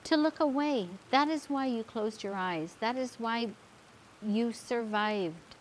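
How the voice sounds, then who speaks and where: A woman's voice: a little high, takes it slowly, poor audio. A woman playing a mother's spirit made of light, tender and solemn, in a horror story. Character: gentle ghostly mother made of amber light. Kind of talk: scripted horror story dialogue. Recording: rain and hiss behind voice.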